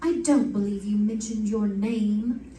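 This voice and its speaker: An actress playing a haughty, suspicious middle-aged society wife in a radio drama, stiff and snobbish. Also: female voice, medium pitch, steady tone, unhurried, warm tone, close to an everyday voice. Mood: haughty, disapproving. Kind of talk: old-time radio drama acting. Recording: roomy, distant, faintly noisy stage recording.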